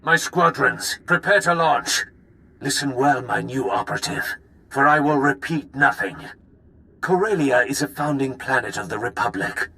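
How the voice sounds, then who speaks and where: An actor playing a menacing, grandiose Sith lord in a video game, speaking in a pompous, theatrical, poetic way. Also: male voice, medium pitch, expressive, normal speed, vocal fry, bright, forceful tone. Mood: imperious. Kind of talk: video game villain acting.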